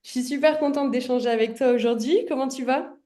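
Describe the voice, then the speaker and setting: A woman's voice: high-pitched, fairly quick, dark tone. A woman hosting a podcast, asking questions in a friendly, casual way with enthusiastic reactions. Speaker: podcast host.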